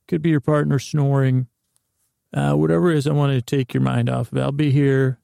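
Gravelly, creaky man rambling soothingly. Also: medium pitch, flat delivery, warm tone, no echo, clean studio sound. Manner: meandering, halting, repeats and trails off into tangents; soothing, lulling. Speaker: podcast host. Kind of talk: rambling, improvised bedtime monologue.

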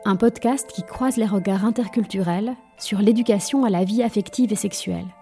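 A woman's voice: mid-range voice, moderate pace, dark tone. A woman reading a scripted podcast introduction in an announcing manner, heard over music. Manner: read-out, announcing. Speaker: show announcer.

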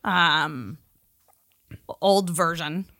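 A woman's voice: sits low, drawn-out words, vocal fry, bright, forceful tone, dry recording, studio quality. A woman chatting casually and emphatically as a podcast co-host, swearing freely. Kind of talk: casual chat.

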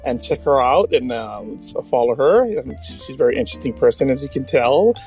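A middle-aged man from Hawaii, chatting casually as a podcast host in hesitant, halting phrases. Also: medium pitch, animated, darkish tone, soft background music. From Hawaii, United States.

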